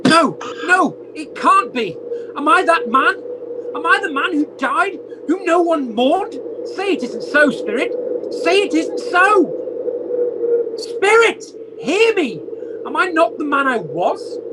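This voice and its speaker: An actor playing an emotional, repentant old male miser in an amateur radio play, with theatrical, halting exclamations. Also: very high-pitched, very animated, very noisy, exaggerated voice. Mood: desperate pleading. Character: repentant old male miser, desperate then giddily joyful. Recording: home video-call audio.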